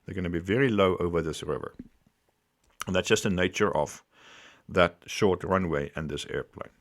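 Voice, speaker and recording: A man giving unscripted explanatory commentary as a host, speaking deliberately and emphatically, repeating phrases for stress. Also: low-pitched. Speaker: host giving accident analysis.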